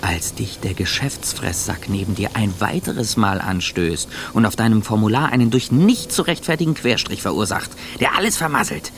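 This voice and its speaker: A man's voice: on the low side, animated, moderate pace, hardly any pauses, a bit bright. A man playing a bitter, anxious passenger, delivering a sardonic, contemptuous interior monologue in a radio play. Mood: anxious, seething. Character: bitter, anxious man seething silently on a plane. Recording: faint background ambience noise.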